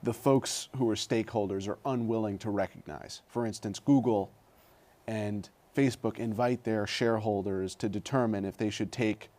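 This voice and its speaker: A man hosting an interview, asking long, measured questions with some hesitation. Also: fairly low pitch, fairly even intonation, darkish tone. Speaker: interview host.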